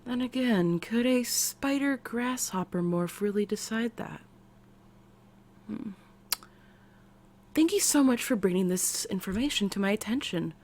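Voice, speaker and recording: A woman with an American accent voicing an eccentric, excitable woman scientist playing therapist in an ASMR roleplay, with quirky, halting delivery. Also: mid-range voice. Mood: scatterbrained, amused. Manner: quirky, halting, drawn-out delivery with sudden swoops. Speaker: roleplay voice performer. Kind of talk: ASMR character roleplay, speaking to the listener.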